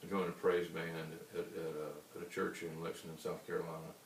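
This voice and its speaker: Low-voiced baritone man, roomy distant sound. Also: little pitch movement. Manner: unhurried, rambling storytelling. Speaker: singer-songwriter guest. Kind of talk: casual interview answers. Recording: roomy, distant mic with faint hiss.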